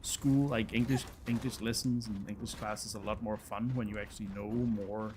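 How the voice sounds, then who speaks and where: A man's voice: on the low side, fairly even intonation, moderate pace, a little mellow, slight background noise. Danish-accented man chatting hesitantly. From Denmark. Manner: hesitant, repeats words, frequent fillers. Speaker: game streamer. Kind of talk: casual chat with viewers while playing.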